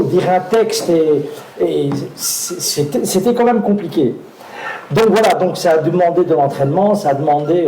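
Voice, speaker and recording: A man's voice: fairly high pitch, mellow, echoey room, rough recording. A man, an actor guest telling anecdotes on stage, hesitant with many fillers and restarts, often laughing. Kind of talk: live talk on stage, storytelling.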